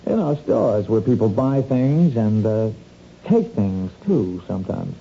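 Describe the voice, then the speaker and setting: Sly blackmailing crook, low and gravelly, slangy and insinuating, radio drama on a dull recording. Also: male voice, very animated, unhurried, warm tone, a little hiss or hum. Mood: awkward, probing. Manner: hesitant, insinuating, folksy slangy talk with ain't. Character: sly, wheedling small-time crook pressing a blackmail scheme.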